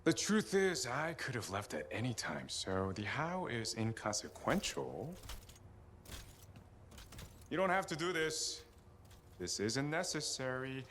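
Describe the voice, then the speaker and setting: An actor playing a slippery, eccentric captive scientist in a video game, mocking and patronizing in a theatrical way. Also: male voice, mid-range voice, very animated, takes it slowly, long pauses, a bit bright, a little hiss or hum. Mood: pleading. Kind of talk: video game cutscene acting.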